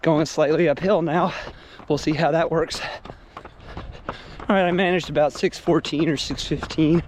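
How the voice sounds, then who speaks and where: A man's voice: fairly even intonation, normal speed. A man in his thirties, losing his voice, talking casually and thinking aloud while reviewing running shoes outdoors on an action camera. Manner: low-key, thinking aloud. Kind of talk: casual vlog commentary. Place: outdoors on a porch and dark streets. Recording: camera audio with loud outdoor noise.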